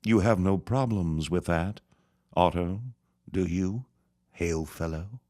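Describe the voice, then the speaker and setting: An actor playing a scheming, vengeful elder reverend in a period radio drama, speaking in a pompous, florid, sneering manner. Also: male voice, deep voice, slow pace, a little mellow, no room sound, clean studio sound. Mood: cold, menacing.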